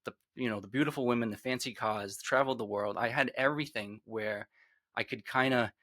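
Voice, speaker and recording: A man's voice: medium pitch, fairly quick, no echo, clean studio sound. A man in his forties with a Rhode Island accent, earnestly telling his personal story as a podcast guest. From Rhode Island, USA. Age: 40s. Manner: earnest, reflective, trails off quietly.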